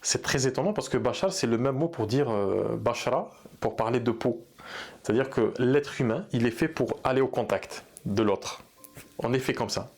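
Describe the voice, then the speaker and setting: A man's voice: medium pitch, normal speed, a little mellow. A man coaching therapy practitioners in an unscripted talk, speaking earnestly and hesitantly with many fillers and restarts. He also speaks Arabic. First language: bilingual in Arabic. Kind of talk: unscripted advice talk to camera.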